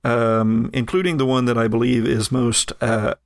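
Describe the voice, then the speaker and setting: A man running a tabletop game as game master, narrating with frequent laughter and halting restarts. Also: medium pitch, fairly even intonation, gravelly, no room sound, studio quality.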